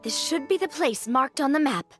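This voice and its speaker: A breezy young adventurer girl, high and animated, keen and confident. Faint game music sits behind her lines. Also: normal speed. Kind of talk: video game character acting.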